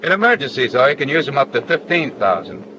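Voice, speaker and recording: An actor playing a patient, matter-of-fact flight instructor in a 1940s training film, measured and firm. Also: male voice, medium pitch, very animated, natural voice. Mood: explanatory. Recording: 1940s film soundtrack with faint noise.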